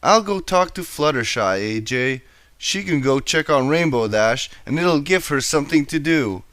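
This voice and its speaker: Man as a young female unicorn, storybook character, tired, bookish, patient, subdued, clear tone. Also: on the high side, lively intonation, normal speed, no room sound. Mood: sad, concerned. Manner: weary, gently explaining.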